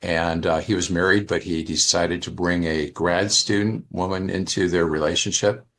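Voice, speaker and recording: Guy w a deep voice chatting on a group call, stops and restarts a lot. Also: steady tone, normal speed, fairly bright tone. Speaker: participant asking questions and commenting. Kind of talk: casual remarks on a group call. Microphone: online call, off camera.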